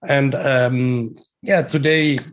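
A man's voice: medium pitch, steady tone, drawn-out words. Middle-aged man with a thick Dutch accent, interview guest, enthusiastic, talks in loops and restarts. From Netherlands. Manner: enthusiastic, with frequent restarts and fillers. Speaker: guest, breathwork teacher. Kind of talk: casual interview talk.

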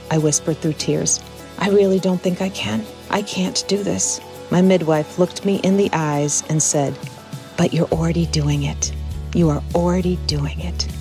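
Woman, low pitch, earnest reflective reading, light background music. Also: steady tone, normal speed. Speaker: author reading her own story. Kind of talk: personal narrative reading.

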